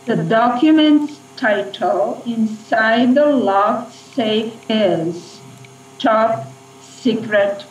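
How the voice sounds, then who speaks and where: Trance-like Soviet psychic woman, Russian accent, high and very slow, distant sound. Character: obedient, trance-like female Russian psychic test subject. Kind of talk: Cold War radio play acting. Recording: echoey distant spy tape with hum.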